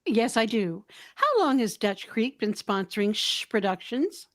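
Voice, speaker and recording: A woman's voice: mid-range voice, a little slow. A woman acting as an announcer's sidekick in scripted radio sponsor banter, inquisitive and a little theatrical.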